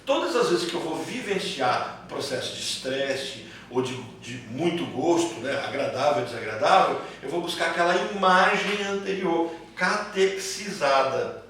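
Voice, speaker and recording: A man's voice: fairly high pitch, expressive, moderate pace, a bit bright. A Brazilian man teaching a class informally, repeating phrases and checking that students follow with short tag questions. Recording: echoey room, far mic, clear background noise.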